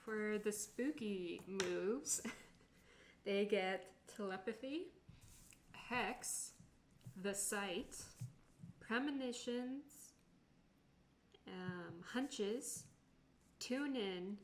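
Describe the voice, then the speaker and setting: A woman's voice: medium pitch, animated, very slow, pauses often, a little hiss or hum. A woman reading out her character's options as a player in a tabletop game. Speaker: tabletop role-playing player.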